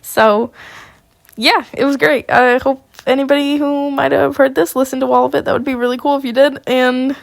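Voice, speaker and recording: A woman who is sick, narrating an amateur audiobook and rambling casually between chapters. Her voice sits a little high with a gravelly rasp. The recording is close and dry. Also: fairly even intonation, moderate pace, no room sound.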